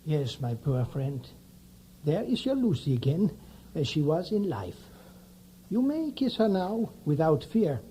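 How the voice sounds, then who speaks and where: A man's voice: fairly high pitch, very animated, measured pace, warm tone, a little hiss or hum. A man playing a solemn, commanding vampire hunter in a radio drama, with a grave, emphatic, theatrical delivery.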